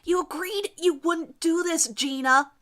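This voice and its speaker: A woman voicing an aggressive, jealous high school girl, loud and sneering, in a dramatic story reading. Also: measured pace. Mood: angry, sneering.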